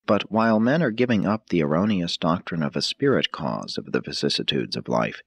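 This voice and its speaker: A man narrating an audiobook from a script in a formal, measured manner. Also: low-pitched, slightly rough, dry recording.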